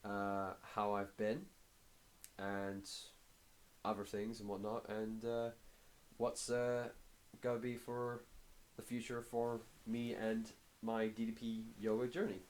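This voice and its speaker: A hoarse man with a cold gives a casual progress update to camera, talking haltingly with many filler pauses. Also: lowish voice, completely flat delivery, a little mellow, rough recording. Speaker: fitness vlogger.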